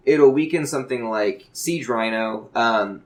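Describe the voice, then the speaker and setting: A man with a mid-pitched voice talks casually as a podcast co-host on gaming. He comes across as enthusiastic and jokey, explaining things in an informal, conversational way. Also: unhurried. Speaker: podcast co-host discussing a card game. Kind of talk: casual chat.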